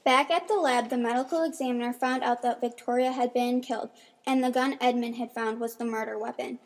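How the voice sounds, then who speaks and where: A woman's voice: on the high side, fairly even intonation, brisk. A woman reading scripted narration for an amateur radio mystery.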